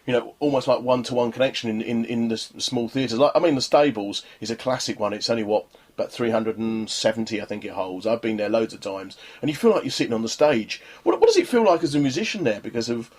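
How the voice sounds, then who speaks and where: Animated male radio host. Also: medium pitch, quick pace. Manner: chatty, rambling, tag questions. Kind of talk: casual radio interview.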